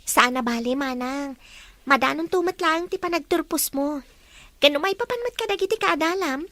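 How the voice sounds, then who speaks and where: High-pitched Filipino woman as a caring teenage girl. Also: expressive, moderate pace, pushed and bright, no echo. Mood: cheerful. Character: Hana, caring teenage high school girl, dutiful daughter. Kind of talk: Ilocano radio drama acting.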